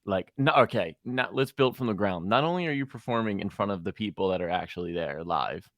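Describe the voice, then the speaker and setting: A man's voice: on the low side, a little fast, no room sound, studio quality. A man co-hosting a music review stream, chatting casually with lots of fillers. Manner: chatty, many fillers, excitable.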